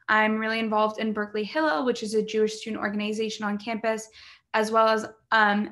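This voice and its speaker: A woman's voice: on the high side, fairly bright tone. A young woman from Southern California, a student tour guide talking casually with many fillers over a video call. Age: young adult. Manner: friendly, filler-heavy. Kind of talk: casual presentation.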